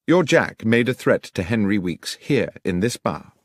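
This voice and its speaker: Man performing impatient male detective, British, authoritative, precise, lively intonation, dry studio sound, period audiobook. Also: on the low side, unhurried, no room sound, voice pushed up. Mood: probing, brisk. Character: brilliant, commanding, impatient male Victorian detective.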